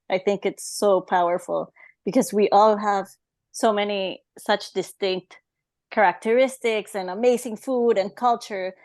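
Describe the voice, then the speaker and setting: A woman's voice: mid-range voice, darkish tone, dry recording. A woman from Mexico, a guest on a talk show, chatting in an upbeat way and laughing easily. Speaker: guest, tea company representative. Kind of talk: casual talk show chat.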